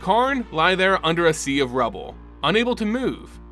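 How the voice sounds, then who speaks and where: A man narrating a fantasy story with an animated, emphatic delivery, then hosting his channel's wrap-up. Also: high voice, moderate pace, faint music behind. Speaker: lore channel host.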